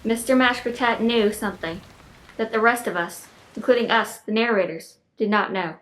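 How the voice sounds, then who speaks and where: A woman's voice: on the high side, moderate pace, fairly bright tone, poor audio. A woman narrating a radio play suspensefully, with ominous, repeated emphasis. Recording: clear background noise.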